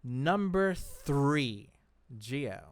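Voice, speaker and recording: A man's voice: medium pitch, big pitch swings, very slow, dry recording. A man hosting a gaming show, chatting casually and passing questions around the panel.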